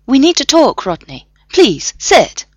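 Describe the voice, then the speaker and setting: Lady putting on a snappy fed-up soldier guy, urgent and wry, pushed way up high, swoopy. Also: wildly swooping pitch, a little slow, breathy edge, dry recording, highs cut off, heavily acted. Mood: exasperated. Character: exasperated male soldier, wry and protective.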